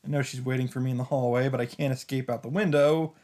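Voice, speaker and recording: A man's voice: mid-range voice, fairly even intonation, quick pace, dark tone. A man hosting a let's play, reading a visual novel's first-person narration aloud with occasional asides of his own. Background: game music.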